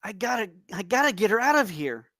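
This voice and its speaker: A man's voice: sits high, sing-song, a little fast, fairly bright tone, heavily acted. An actor at a table read playing a scrappy, anxious male photographer, urgent and protective. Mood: urgent, pleading. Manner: urgent, pleading.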